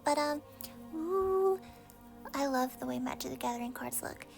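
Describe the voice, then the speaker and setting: A woman's voice: high-pitched, moderate pace, creaky, soft background music. A young woman from Texas, chatting casually through an unboxing with lots of fillers, sounding a little congested. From Texas, USA. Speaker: vlogger.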